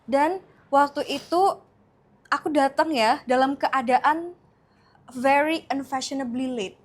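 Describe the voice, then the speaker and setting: A woman's voice: sits high, takes it slowly. A woman in her thirties from Indonesia, co-hosting a casual chat with her partner in a playful, teasing, chatty way. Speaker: co-host.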